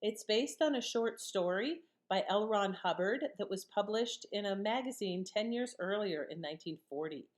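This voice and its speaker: A woman's voice: mid-range voice, animated, normal speed, few pauses. A woman giving casual commentary as a channel host.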